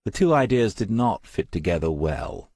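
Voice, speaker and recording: A man's voice: low-pitched, very animated, normal speed, a little mellow, no room sound, studio quality. A man narrating a crime novel as an audiobook, reading scripted prose.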